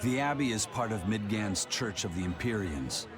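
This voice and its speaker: A man voicing a composed, scholarly adventurer in a video game, measured and formal. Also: on the low side, expressive, lightly acted. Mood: matter-of-fact. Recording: music behind the voice.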